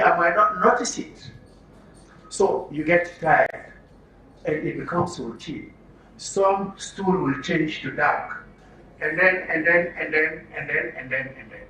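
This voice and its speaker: A man's voice: high voice, sharp tone. A man with a Nigerian accent leading an interactive workshop lecture, quizzing listeners and repeating phrases in a didactic way. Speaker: trainer leading an orientation workshop for media workers. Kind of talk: interactive lecture with questions to the audience. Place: echoey room at a live workshop. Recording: roomy echo, distant mic, faint noise.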